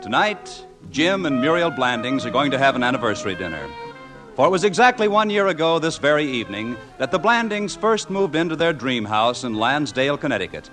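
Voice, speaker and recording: A man's voice: medium pitch, very animated, fairly quick. A man announcing and narrating a 1950s radio comedy in a polished storytelling manner. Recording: vintage radio broadcast with music.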